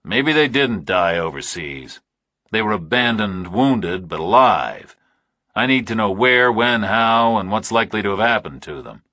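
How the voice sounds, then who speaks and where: A man's voice: on the low side, very animated, a little slow, bright, forceful tone, no room sound, exaggerated voice. A man playing a tough ex-military investigator, clipped, dry and determined, in a thriller audiobook. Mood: intent.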